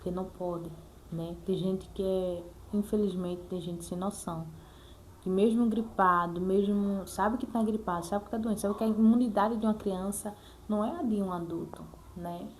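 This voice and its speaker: A woman's voice: mid-range voice, fairly even intonation, moderate pace, slight background noise. A Brazilian woman talking casually and earnestly to viewers as a mother, repeating herself and adding frequent check-in tags. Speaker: mother sharing her experience in a vlog. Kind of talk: casual personal talk to viewers.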